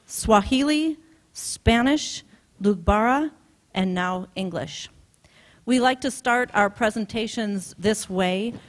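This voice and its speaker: A woman project manager giving a conference keynote talk, relaxed and unscripted, with frequent ums. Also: medium pitch. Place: conference hall.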